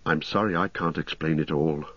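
A male reader voices a weary young hobbit in a fantasy storybook. He is courteous, earnest and worried, with a slightly husky tone. The sound is dry and a little muffled. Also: very deep voice, steady tone, moderate pace, a bit bright, no echo, heavily acted.

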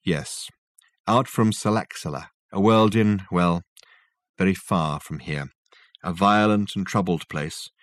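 Man voicing an ancient, grave alien ghost, low-pitched. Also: dry recording, clean studio sound. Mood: grave, confessional. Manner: formal, measured, solemn.

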